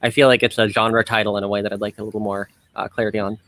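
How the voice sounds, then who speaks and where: A man's voice: on the low side, level tone, rapid-fire, fairly bright tone. A man hosting a casual, riffing radio interview in a wry, playful way. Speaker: podcast and radio host.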